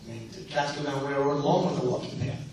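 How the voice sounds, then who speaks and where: Hoarse man in an echoey hall. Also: mid-range voice, steady tone, fast talker, darkish tone. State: losing his voice. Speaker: mayoral candidate. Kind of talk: debate answers. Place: synagogue hall. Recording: echoey hall from far off, some noise.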